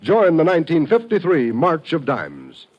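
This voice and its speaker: A man's voice: a little high, huge pitch swings, moderate pace, muffled recording. A man with an American accent announcing in scripted 1950s radio style, earnest and declamatory.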